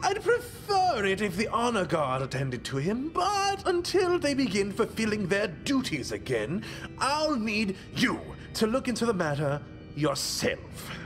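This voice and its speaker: An actor playing a pompous, menacing authority figure with a theatrical, deliberate delivery in a video game. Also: medium pitch, wildly swooping pitch. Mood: displeased, commanding. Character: pompous, menacing authority ruling over young guardians. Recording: faint music behind.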